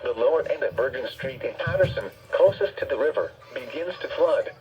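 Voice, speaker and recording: A synthetic male announcer reading a scripted emergency weather bulletin in a flat, formal way through a weather radio speaker. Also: mid-range voice, a little fast, faint music behind. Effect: played through weather radio speaker. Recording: dull radio speaker, faint background noise.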